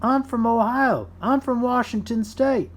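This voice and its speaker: A man voicing excitable cartoonish workers and farmers in short exclamations for a children's storybook. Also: extremely high pitch, unhurried, pitched above the performer's own voice. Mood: eager, proud. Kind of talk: children's storybook character voices.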